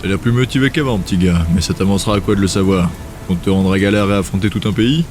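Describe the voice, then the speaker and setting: A man's voice: deep voice, quick pace, a little mellow, a little hiss or hum, close to an everyday voice. A man playing a blunt, cynical, matter-of-fact soldier in an audio drama. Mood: challenging.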